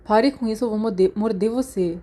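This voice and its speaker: A woman's voice: medium pitch, moderate pace, warm tone, lightly acted. A woman voicing a shy, self-conscious schoolboy in an audiobook, meek and protesting, with a Brazilian Portuguese accent. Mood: exasperated.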